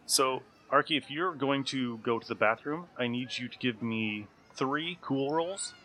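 Bright-voiced man running a tabletop game. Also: mid-range voice, measured pace. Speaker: game master and host. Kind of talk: tabletop game narration with casual table chat.

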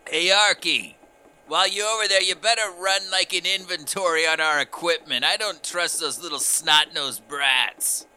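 A man's voice: a little high, normal speed, pushed and bright, clear, resonant tone. A man playing in a tabletop game, bantering in a crass, wisecracking way.

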